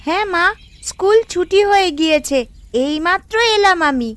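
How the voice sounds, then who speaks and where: A woman's voice: very high voice, moderate pace, fairly bright tone, dry recording. A woman voicing a sweet, curious young schoolgirl in a cartoon, with a childlike, eager way of talking. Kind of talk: Bengali family cartoon voice acting.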